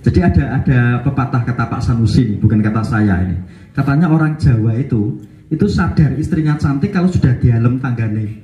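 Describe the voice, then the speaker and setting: A man's voice: medium pitch, expressive, fairly quick, warm tone. A male talk-show host from Central Java who listens attentively, agrees often and sums up his guest's points. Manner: attentive, frequent short agreements, summarizing points. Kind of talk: moderating a conversation. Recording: echoey room.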